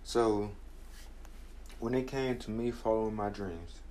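Slow, earnest young man. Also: lowish voice, darkish tone, slight background noise. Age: 20s. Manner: earnest, measured, reflective. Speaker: podcast host. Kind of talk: motivational personal talk.

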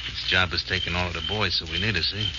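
An actor playing a tough, commanding gang boss with slangy orders in a 1940s radio drama. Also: male voice, low-pitched, fairly even intonation, fast, lightly acted. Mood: gruffly confident. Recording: very noisy, dull old radio recording.

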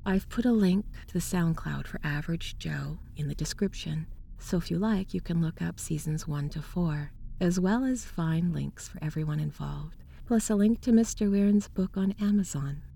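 A woman presents a scripted introduction in a measured, courteous manner. Her voice sits low, with a soft, mellow tone. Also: creaky, husky. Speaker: presenter and voice actress.

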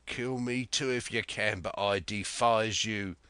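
A man voicing an honest young sailor with a hoarse, shaking voice who speaks earnestly, for a storybook reading. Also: medium pitch, animated, takes it slowly, a bit bright, no room sound. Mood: defiant. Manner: earnest, pleading then defiant.

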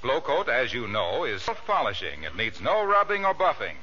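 A man's voice: medium pitch, wildly swooping pitch, normal speed, bright, forceful tone. A man with an American accent announcing in polished, salesmanlike 1940s radio style. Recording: dull, noisy vintage radio recording.

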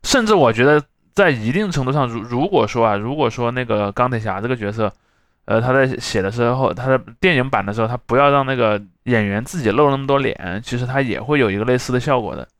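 A man's voice: medium pitch, fast talker, a bit bright, dry recording, studio quality. A man co-hosting a casual podcast discussion, reflective, often rephrasing his thoughts. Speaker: podcast host.

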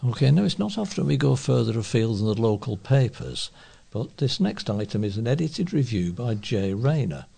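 A British man reads a newspaper review aloud in a deliberate, expressive way. His voice is husky and creaky, at a middling male pitch. It is the delivery of a volunteer newsreader working from a script.

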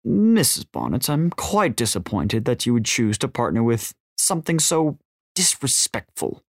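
A man's voice: medium pitch, very animated, measured pace, no room sound, studio quality. Man voicing a smug, menacing older crime boss. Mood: coldly menacing.

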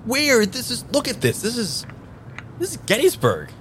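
An actor playing an eager, pushy man without his memory in a naturalistic improvised audio drama, halting and repeating himself. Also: very high voice, huge pitch swings, a bit bright, a little hiss or hum, exaggerated voice. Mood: excited wonder. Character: eager amnesiac man, pushy, boyishly enthusiastic tarot querent.

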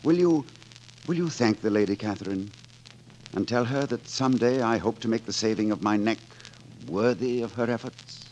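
An actor playing a witty, romantic rogue poet in 1940s radio style, with florid, courtly, wry speech. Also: male voice, on the low side, normal speed, darkish tone, slight background noise, close to an everyday voice, rough recording. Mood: subdued. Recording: vintage 1940s radio broadcast, orchestral music behind.

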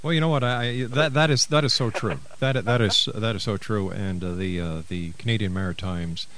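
A man's voice: fairly low pitch, brisk, fairly bright tone. A man hosting a live radio talk show, interviewing and announcing to listeners.

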